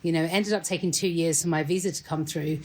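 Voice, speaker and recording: A woman's voice: low voice, fairly even intonation, fast talker, darkish tone. A middle-aged British woman with a British accent, a guest on a podcast, speaking in an articulate and reflective way. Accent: British English. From Great Britain. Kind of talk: casual interview.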